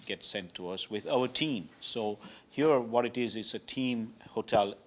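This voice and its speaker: A man's voice: lowish voice, measured pace. A man born in Zambia, a hotel owner, answering interview questions politely with many hesitations.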